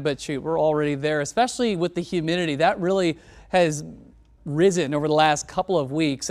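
A man in his thirties presenting a TV weather forecast in a studio, talking in a relaxed, conversational way on a lapel mic. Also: fairly high pitch, smooth. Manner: relaxed, conversational delivery with casual words. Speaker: TV meteorologist. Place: TV studio in front of weather graphics.

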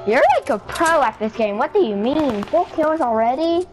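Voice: high voice, expressive, moderate pace, background noise. A young-sounding player chatting casually with teammates during an online game. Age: child or young teen. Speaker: player in an online squad match.

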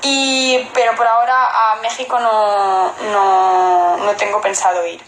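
A Spanish woman in her twenties chatting casually on a phone livestream, answering viewers in Spanish and halting English with a Spanish accent. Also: mid-range voice, little pitch movement, fairly bright tone, reverberant, slight background noise, rough recording. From Spain. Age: 20s. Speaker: model on a livestream. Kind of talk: casual livestream chat reading comments. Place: indoor room at home. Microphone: phone held at arm's length.

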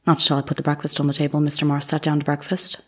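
A deferential young housemaid on the witness stand played by a woman. Her voice is low and fairly flat, with plain, brief answers in an audio courtroom drama. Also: very fast.

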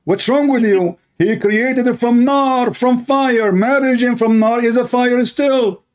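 A man's voice: very high-pitched, a little slow. A man hosting an online debate, combative and mocking, repeating his demands. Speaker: debate host.

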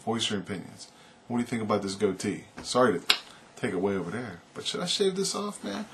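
Man in his thirties, low loud voice, earnest rambling vlogger, webcam in a small room with faint hiss. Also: gravelly. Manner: rambling, earnest, leans on "man" and "you know". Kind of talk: casual opinion vlog to camera. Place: small indoor room, seated on couch.

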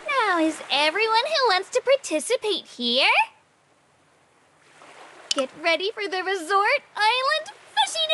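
A woman's voice: very high voice, sharp tone, slight background noise, full character voice. A woman voicing a playful, scheming young resort hostess in an anime game, sugary and theatrical.

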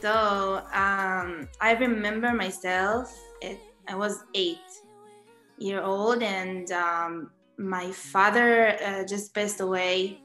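Israeli-accented young woman, slow and soft. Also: medium pitch, steady tone, roomy sound, soft background music. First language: Hebrew. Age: young adult. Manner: soft-spoken, with frequent ums and laughs. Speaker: guest singer. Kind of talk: casual interview answers over video call.

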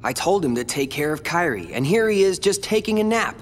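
An actor playing a calm, determined teenage boy hero in a video game, with a dry, brooding way of talking. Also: a little high, a little fast, a little hiss or hum. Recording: game audio with reverb and loud music.

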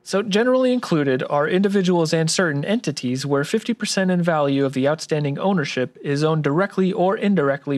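A man's voice: a little high, close to an everyday voice. A man playing an earnest, polite tax expert in a scripted noir radio drama, speaking precisely and courteously. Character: earnest by-the-book male tax expert, polite detective type.

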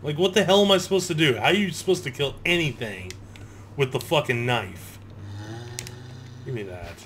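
A man's voice: fairly high pitch, lively intonation, moderate pace, a bit bright. A man giving casual, profane, reactive commentary on a video game he plays, talking to himself. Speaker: gamer recording a playthrough. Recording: clear background noise, game ambience.

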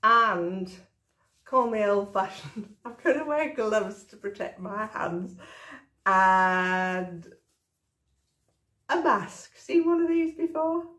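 A woman's voice: medium pitch, animated, takes it slowly, pauses often, a bit bright. Older lady showing how she paints, takes her time, practical and chatty, small room at home. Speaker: art tutor. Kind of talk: home craft tutorial.

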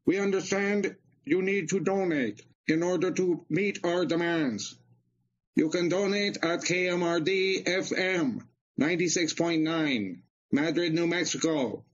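Slow, stiff alien emissary, played by a man. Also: fairly high pitch. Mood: cold, demanding. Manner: stiff, formal, measured. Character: formal, coolly demanding alien emissary named Phyllis. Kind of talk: comedy radio theater. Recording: dull, lo-fi transmission sound.

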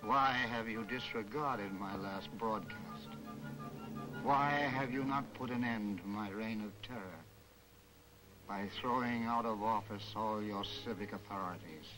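An actor playing a soft-spoken, menacing professor of crime in a 1940s film serial, formal, measured and sardonic. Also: male voice, on the low side, close to an everyday voice, low-quality recording. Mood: ominous. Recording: old 1940s film soundtrack, music behind.

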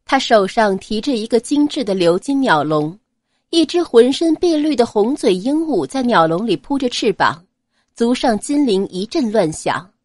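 A woman narrating an audiobook in an expressive, scripted storytelling style. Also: on the high side, normal speed, no echo, studio quality.

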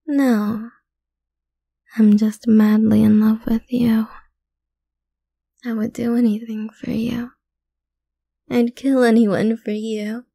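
A woman playing a possessive, lovesick mafia boss's daughter in an ASMR roleplay, speaking softly and breathily, often slipping into whispers, with sweet menace. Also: a little high, no echo, exaggerated voice. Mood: obsessive, tender. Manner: soft, breathy, slow, often dropping into whispers. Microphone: close mic, dry.

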